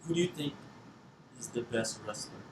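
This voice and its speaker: A man's voice: mid-range voice, animated, normal speed. A man asking casual interview questions, building them up in short phrases. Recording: echoey room with clear background noise.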